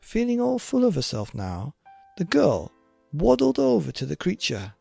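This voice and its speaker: A man narrating a children's bedtime story in an expressive, theatrical and deliberate way. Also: high-pitched, gravelly, breathy edge, no room sound, soft background music. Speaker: podcast narrator.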